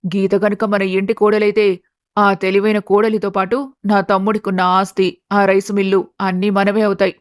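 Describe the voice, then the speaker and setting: A woman's voice: mid-range voice, little pitch movement, normal speed, no echo, clean studio sound, lightly acted. A woman voicing a scheming, greedy middle-aged aunt who talks insistently in a family story. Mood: eager. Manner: insistent, calculating.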